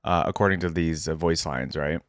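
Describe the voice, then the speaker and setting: A man's voice: a bass voice, brisk, over music. A man casually reading aloud and reacting as a video host, easygoing and chatting to his viewers.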